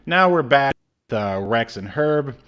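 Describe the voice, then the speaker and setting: A man's voice: medium pitch, animated, measured pace, fairly bright tone, smooth. A man in his thirties co-hosting an informal review chat, talking in a casual, joking way. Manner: jokey, informal. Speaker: podcast co-host. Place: indoor room, on a video call.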